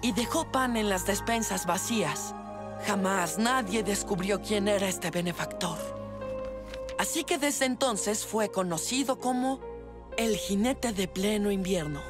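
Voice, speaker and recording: A woman voicing an earnest, eager young boy in a cartoon dub, explaining things clearly. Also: medium pitch, expressive, a little slow, over music, natural voice. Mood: storytelling.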